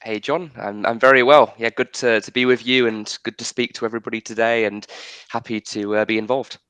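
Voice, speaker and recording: A man's voice: mid-range voice, fairly even intonation, brisk, fairly bright tone, smooth, no echo. A man in his twenties with a slight Yorkshire accent, a podcast guest talking casually and self-assuredly, often restarting and repeating words. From Yorkshire, England. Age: 20s. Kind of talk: casual interview talk.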